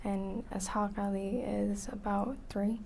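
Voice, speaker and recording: An eighteen-year-old woman from Iraq, a witness in court, soft-spoken and answering briefly and quietly. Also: mid-range voice, flat delivery, slow, vocal fry, slight background noise. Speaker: trial witness.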